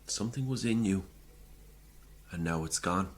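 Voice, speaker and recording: A man's voice: sits low, moderate pace, slight background noise, natural voice. An actor playing a fervent religious man who believes in miracles, insistent, preachy and combative in an audio drama. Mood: grave, certain. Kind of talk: naturalistic audio drama acting.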